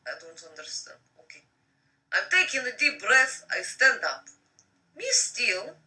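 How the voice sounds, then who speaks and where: A woman reading a story aloud as host of a live stream, in a theatrical, drawn-out, expressive way. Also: mid-range voice. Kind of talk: live dramatic audiobook-style reading with casual asides. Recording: distant microphone on live stream.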